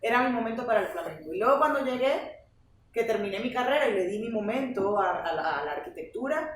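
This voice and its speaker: A young woman with a Venezuelan accent, a guest telling stories in a lively, chatty podcast interview. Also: fairly high pitch, quick pace. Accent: Venezuelan Spanish. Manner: chatty, animated storytelling. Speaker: guest, flamenco teacher. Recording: roomy, distant mic, faint noise.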